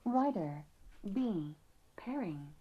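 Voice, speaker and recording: A woman delivers short automated device announcements at a very slow pace. The playback is dull and lo-fi, with plainly audible noise around the voice. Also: medium pitch, sing-song. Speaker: device voice prompt. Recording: lo-fi, noisy device prompt playback.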